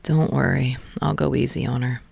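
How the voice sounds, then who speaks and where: A woman's voice: very deep voice, steady tone, moderate pace, vocal fry, a little mellow, slightly rough, no echo, pitched below the performer's own voice, full character voice. A woman voicing a reserved, dry young man of few words, terse and understated, as an audiobook character. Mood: dry, teasing. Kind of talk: fan fiction audiobook character voice.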